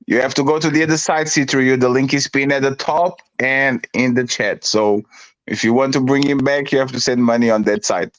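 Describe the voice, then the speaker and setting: A man's voice: mid-range voice, a little fast, no echo. A man from Portugal hosting a casual livestream chat, joking and swearing as he talks. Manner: joking, crude, excitable. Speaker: livestream host.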